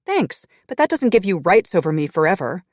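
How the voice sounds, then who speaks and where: A narrator voicing a fiery, defiant young woman in an audiobook, speaking high and loud. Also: very animated, fairly quick, no echo, studio quality. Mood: furious. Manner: high-pitched, loud, indignant.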